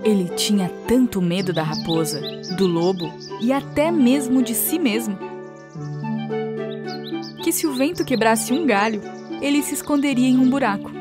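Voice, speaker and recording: A woman's voice: on the high side, animated, moderate pace, music in the background. A woman narrator reading scripted fables for children.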